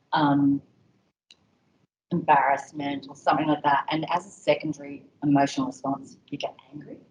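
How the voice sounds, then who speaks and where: A woman's voice: deep voice, far from the mic, a little hiss or hum. A woman presenting an educational session to health staff, chatty and conversational with frequent ums and you-knows. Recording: dull, echoey room audio from afar.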